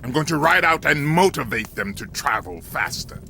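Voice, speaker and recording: Gravelly warlord villain, game cutscene, menacing, taunting, sharp bright voice, swooping pitch, music behind. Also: male voice, big pitch swings, normal speed, slight background noise, full character voice. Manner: threatening, commanding. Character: menacing warlord commander, cruel, booming and taunting. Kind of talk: video game cutscene acting.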